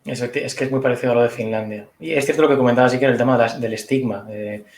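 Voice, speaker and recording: A man answers audience questions at an online conference, weighing each point and reaching for examples. He talks briskly. His voice carries the echo of a room over a video call. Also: mid-range voice, fairly even intonation, a little mellow. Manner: thoughtful, hedging, explains with examples. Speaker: conference speaker.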